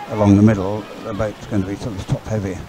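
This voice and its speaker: A man giving conversational live sports commentary in a hesitant, halting way, often repeating his words. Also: on the low side, level tone, background noise. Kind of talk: live dragon boat race commentary, conversational. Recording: live sports coverage, crowd in background.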